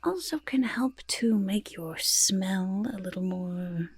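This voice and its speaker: A woman voicing a fussing, delighted goblin potion-maker in a soft-spoken ASMR roleplay, muttering and repeating words in a creaky, breathy half-whisper. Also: mid-range voice, takes it slowly, dry recording. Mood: eager, fussing.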